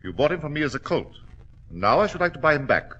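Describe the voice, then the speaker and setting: An actor playing a suave, scheming English gentleman gambler in a 1940s radio drama, with an insinuating manner. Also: a little high, sing-song, fast, muffled recording, full character voice. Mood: smug.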